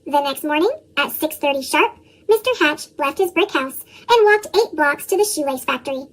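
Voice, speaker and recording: A woman's voice: lively intonation, normal speed, fairly bright tone. A woman reading children's storybooks aloud expressively, her voice shifted up with a chipmunk effect. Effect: chipmunk-style pitched-up voice. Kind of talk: expressive read-aloud narration.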